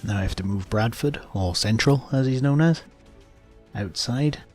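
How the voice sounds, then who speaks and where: A man's voice: mid-range voice, moderate pace. A man giving explanatory, matter-of-fact commentary as he hosts a video game walkthrough. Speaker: gaming video host. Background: video game music and sounds.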